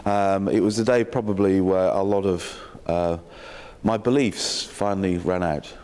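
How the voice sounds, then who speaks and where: A man with a slightly croaky voice giving a welcome speech at a health sector session, with dry self-mocking humour and many ums and restarts. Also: deep voice, fairly even intonation, measured pace, a little mellow, slight background noise. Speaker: opening speaker at a health sector learning session. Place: conference hall. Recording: long hall reverb.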